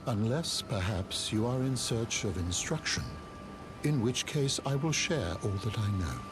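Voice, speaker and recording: A man playing an aged, wise turtle cleric in a fantasy game, with a courteous, measured, gently formal manner. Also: mid-range voice, lively intonation, noisy background, close to an everyday voice. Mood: gentle, helpful. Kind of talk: theatrical fantasy game acting. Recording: clear music under the voice.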